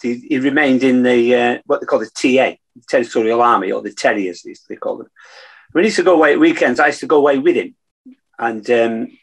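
Guest: northern English male, 60s, creaky husky voice, casual storyteller on a video call. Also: mid-range voice, rough recording. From Manchester, England. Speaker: podcast guest, navy veteran. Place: room at home, video call.

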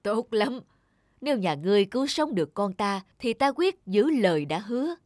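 A woman acts out a commanding bearded king in a cartoon fairy tale. He is an anxious father, imperious and exclamatory, with music playing clearly behind him. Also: medium pitch, sing-song, moderate pace, darkish tone, no room sound, clean studio sound, lightly acted. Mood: elated, commanding. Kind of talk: cartoon folk tale dialogue.

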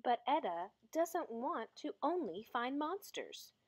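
A woman reading a children's picture book aloud in an expressive, deliberate storytelling manner. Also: high voice, breathy edge. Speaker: storybook reader.

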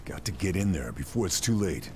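An actor playing a terse, calm male soldier in a video game, with a very deep voice. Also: fairly quick, background noise. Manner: terse, calm military delivery. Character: stoic male soldier sniper, middle-aged. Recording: game audio under music.

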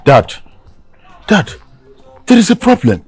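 A young man with a Nigerian accent playing an excitable but respectful son in a film drama. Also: high voice, takes it slowly, soft background music, heavily acted. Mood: agitated. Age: young adult.

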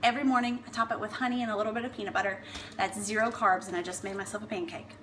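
A woman's voice: mid-range voice, racing. A young woman fitness coach giving chatty, instructive meal-prep tips in her living room, often checking in with the viewer. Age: young adult. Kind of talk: casual how-to vlog. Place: open-plan living room at home, indoors. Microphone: camera mic from a few feet away. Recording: echoey room, distant mic, steady hiss.

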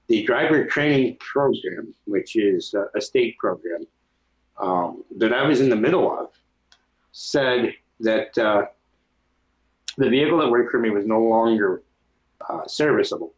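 A man in his thirties from New York, a guest speaking calmly with many pauses over a video call from home. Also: mid-range voice. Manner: calm, plain, many filler pauses. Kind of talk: casual interview chat. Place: home room. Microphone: video call with webcam mic. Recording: dull lo-fi webcam audio.

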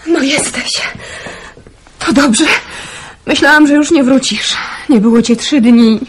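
An actress playing Baśka, a gentle, hopeful young woman, in a radio play. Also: high voice, takes it slowly. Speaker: Baśka, Hubert's partner.

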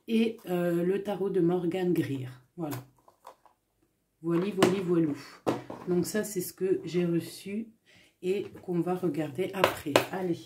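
A woman's voice: lowish voice, heavy background noise. A woman giving a casual tarot reading to camera, chatty and full of fillers, with affectionate asides to her viewers. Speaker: tarot reader. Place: indoors at a table with tarot cards.

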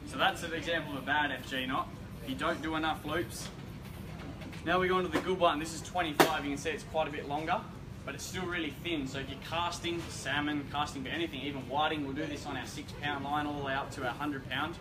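Young Australian male shop presenter, slightly high voice, informal and explanatory, recorded at a distance. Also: fairly even intonation, fast. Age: young adult. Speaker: fishing shop staff presenter. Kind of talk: casual live knot demonstration. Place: indoor fishing tackle shop. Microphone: distant camera microphone. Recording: distant mic, loud shop background noise.

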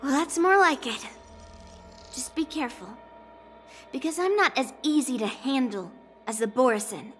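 A woman's voice: high voice, lively intonation, moderate pace, pauses often, fairly bright tone, soft background music. A woman playing a hot-tempered young swordswoman girl in a video game, casual, cocky and blunt.

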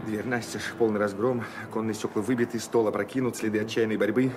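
An actor playing a distraught young musketeer in love in a radio drama, speaking in anguished, broken phrases. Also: male voice, on the low side, steady tone, fast, background noise, natural voice. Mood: anguished, despairing.

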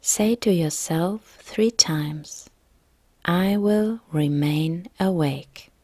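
Soft breathy lady doing a guided yoga nidra, calm and gentle, super dry close sound. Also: fairly low pitch, drawn-out words, no echo. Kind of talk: guided relaxation meditation narration.

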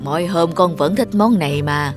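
A woman's voice: on the low side, normal speed, over music, close to an everyday voice. A woman playing a caring, mildly exasperated middle-aged mother in a cartoon. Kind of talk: Vietnamese cartoon dialogue.

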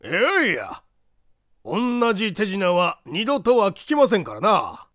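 Man acting a high-voiced, formal castle lord. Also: huge pitch swings, a little slow, a bit bright, no echo, studio quality, heavily acted. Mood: grave, explanatory. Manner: formal, polite, theatrical. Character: courteous anxious male castle lord explaining a mystery. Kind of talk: dramatized Japanese mystery audiobook reading.

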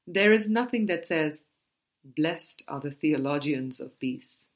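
A woman with a very low-pitched voice reads from a book. Her pace is very slow, and each phrase is set out in a measured, deliberate way, as in an audiobook. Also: expressive. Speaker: audiobook reader. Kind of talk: scripted book reading.

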